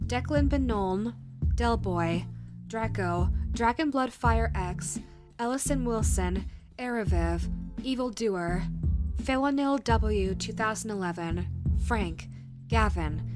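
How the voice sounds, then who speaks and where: Woman giving a creator's outro. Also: fairly high pitch. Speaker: voice actor and channel host. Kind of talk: reading patron thanks and outro.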